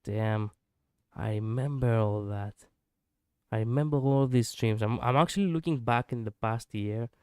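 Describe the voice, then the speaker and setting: A man's voice: medium pitch, normal speed, darkish tone, clear, resonant tone, no echo, studio quality. A male streamer chatting casually and reflectively, poking fun at his own slip-ups. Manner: musing, self-deprecating, laughs at own slips. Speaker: VTuber streamer.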